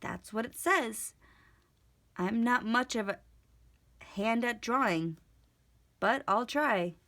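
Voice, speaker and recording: A woman's voice: fairly high pitch, takes it slowly, fairly bright tone. A woman voicing a cheerful, naive, literal-minded housemaid in a children's storybook, with an earnest, folksy, exclamatory way of talking. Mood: earnest, puzzled.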